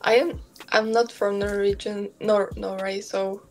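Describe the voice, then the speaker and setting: Hesitant young Polish woman, slow. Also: mid-range voice, little pitch movement, darkish tone, soft background music. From Poland. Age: young adult. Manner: hesitant, searching for words. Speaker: video chat stranger. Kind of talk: casual video chat. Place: room at home lit purple, indoors.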